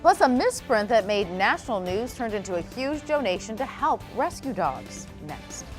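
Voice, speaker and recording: A woman's voice: a little high, very animated, moderate pace, faint music behind. An American woman reading scripted news as an anchor.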